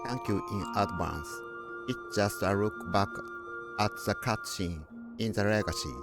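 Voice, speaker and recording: A man's voice: on the low side, unhurried. A man giving a short spoken introduction as a video creator. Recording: music under the voice.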